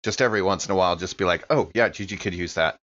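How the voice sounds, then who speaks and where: Male tabletop player, fairly low voice, casual and tentative delivery, slightly muffled sound. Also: very fast, fairly bright tone. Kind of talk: casual improvised tabletop game talk.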